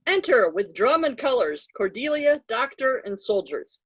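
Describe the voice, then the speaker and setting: A woman's voice: on the high side, big pitch swings, moderate pace, a bit bright, husky, lo-fi sound. A woman reading out stage directions as a scripted narrator.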